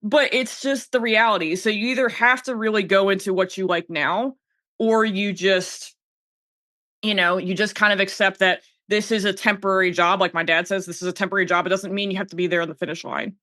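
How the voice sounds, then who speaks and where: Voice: mid-range voice, fairly even intonation, a little fast, bright, forceful tone, no echo, studio quality. A podcaster in their thirties talking casually and candidly, animatedly voicing their own thoughts as quoted self-talk.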